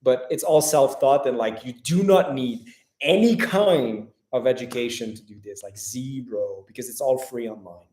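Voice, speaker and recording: Animated young man, echoey and off-mic. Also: mid-range voice, far from the mic. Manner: quick, animated, heavy use of "like". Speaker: travel vlogger host. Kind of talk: casual livestream chat.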